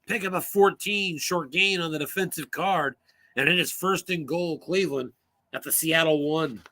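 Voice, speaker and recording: A man calling solo play-by-play for a tabletop football game, brisk and rising on big plays, losing his voice and coughing now and then. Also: a little high, sharp tone.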